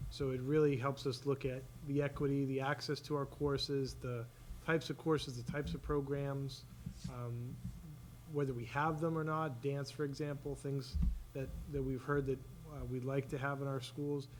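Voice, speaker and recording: A man speaking as a school administrator, giving an enthusiastic informal report at a public meeting. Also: medium pitch. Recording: noisy public meeting room recording.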